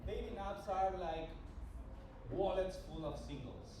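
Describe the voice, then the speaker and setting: A man from Argentina with an Argentine Spanish accent doing a halting, self-deprecating stand-up routine at an open mic. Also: high voice, sing-song. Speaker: stand-up comedian. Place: small bar open mic. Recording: echoey bar, distant mic, loud background noise.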